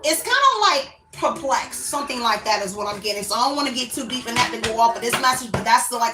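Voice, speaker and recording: A woman's voice: on the high side, roomy sound, noisy background. A woman giving a casual, rambling tarot reading to her viewers. She often hedges with "I don't know" and calls the listener "baby.". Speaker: tarot reader.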